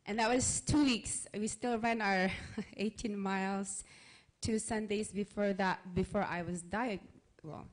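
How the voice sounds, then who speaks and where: A woman's voice: medium pitch, steady tone, measured pace. A woman speaking earnestly into a handheld mic, sharing a personal testimony before a church congregation. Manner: earnest, conversational, with restarts. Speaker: church member giving a testimony. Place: church sanctuary, in front of a congregation.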